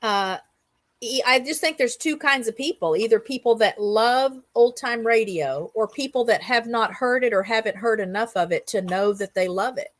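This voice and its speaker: A woman's voice: fairly high pitch, moderate pace, no echo. A middle-aged or older woman hosting a casual livestream chat, talking in an enthusiastic, rambling storytelling way. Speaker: host of a livestream roundup show.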